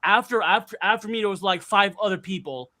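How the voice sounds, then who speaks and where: Male podcast panelist, high bright voice, loud and projecting, excitable storyteller who stumbles over repeats. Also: brisk. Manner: excitable, stammering repetitions. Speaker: podcast panelist recounting a convention trip. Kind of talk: casual chat, storytelling.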